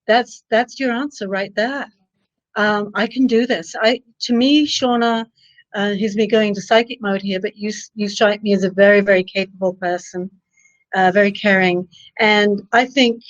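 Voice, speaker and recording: A woman's voice: medium pitch, steady tone, normal speed, no echo. A woman coaching a guest in a live video chat, encouraging and practical, fond of old sayings. Her voice comes through dry and a little dull, with no room around it. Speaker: psychic coach and live show host.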